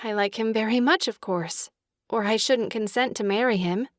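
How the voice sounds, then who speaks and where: A woman playing a gentle, timid, earnest young daughter in a period audiobook drama, speaking softly and sincerely. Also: on the high side, normal speed, no room sound, clean studio sound, close to an everyday voice. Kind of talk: full-cast audiobook period drama acting.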